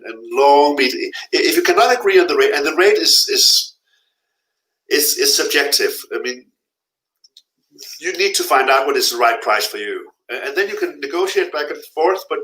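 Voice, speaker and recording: A middle-aged man with a bright, forward voice speaks as a guest in a live interview, giving blunt, frank warnings and pressing his points home. The sound rings off the walls of an echoey room. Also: on the high side. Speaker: guest, freelance translator.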